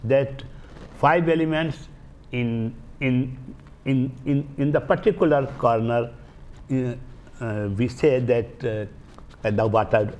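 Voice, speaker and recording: An older man with an Indian accent explains as an interview guest in a studio, haltingly, searching for words and repeating phrases. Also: mid-range voice, fairly even intonation, darkish tone, muffled recording. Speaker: guest, Vastu healer. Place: small indoor TV studio. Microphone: lapel mic.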